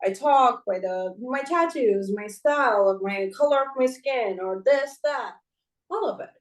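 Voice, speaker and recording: A woman with a fairly high voice speaks emphatically and from the heart. She co-hosts a casual podcast and often tags her points with a quick "right?". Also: lively intonation. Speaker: podcast co-host. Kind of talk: casual conversation.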